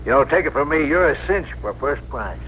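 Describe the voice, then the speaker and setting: An actor playing a talkative, good-humored older cab driver, colloquial and blustery, in a 1960s radio drama. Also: male voice, fairly high pitch, lively intonation, a little fast, fairly bright tone. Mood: amused, chatty. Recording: noisy vintage radio broadcast recording.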